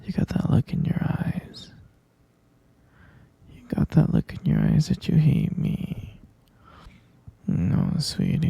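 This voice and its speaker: A man playing an obsessive, doting kidnapper lover in an intimate ASMR roleplay, breathy and whispery, cooing pet names in a coaxing way. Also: on the high side, warm tone, no room sound, heavily acted. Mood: soothing, pleading. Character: obsessive, doting male kidnapper lover, unhinged yet tender.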